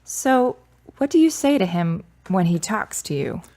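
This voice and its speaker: A woman's voice: medium pitch, big pitch swings, measured pace, mellow. A woman playing a grieving, frank young woman in a radio drama, with dramatic, earnest phrasing. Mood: teasing.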